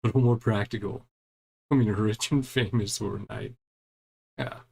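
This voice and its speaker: A man's voice: on the low side, fairly even intonation, normal speed, gravelly, a little mellow, husky. A man giving casual commentary on a game as he plays, musing aloud and reading bits of dialogue. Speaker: gaming streamer.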